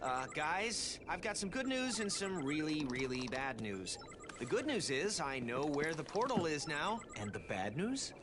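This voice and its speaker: An actor voicing a brainy, anxious teenage mutant turtle in a cartoon game, explaining things with technical urgency. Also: male voice, on the high side, big pitch swings, measured pace, noisy background. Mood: nervous explaining. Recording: loud music behind.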